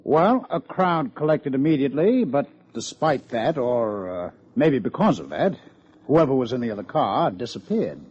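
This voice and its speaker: Dry police lieutenant, American, sardonic, animated intonation, muffled vintage radio drama sound. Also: male voice, medium pitch, moderate pace, husky. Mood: matter-of-fact. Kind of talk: old-time radio detective drama acting. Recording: muffled old radio recording.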